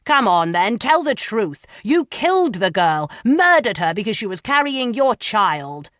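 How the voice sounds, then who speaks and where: A woman's voice: deep voice, slow pace, no room sound, clean studio sound. A woman voicing a pompous, testy male magistrate who browbeats and bellows. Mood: bellowing.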